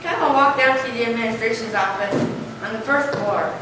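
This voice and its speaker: Young female story narrator, school stage reading, raised pitch, noisy distant sound. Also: a little fast. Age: young adult or younger, school pupil. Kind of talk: scripted story narration, readers' theater. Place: large echoey room. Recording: echoey noisy hall, distant mic.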